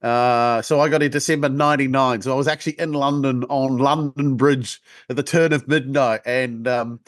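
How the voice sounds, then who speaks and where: A man's voice: medium pitch, steady tone, moderate pace, dry recording, clean studio sound. A man in his forties from New Zealand with a New Zealand accent, a sales trainer telling stories in a brash, joking way as an interview guest. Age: 40s. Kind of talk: casual interview chat.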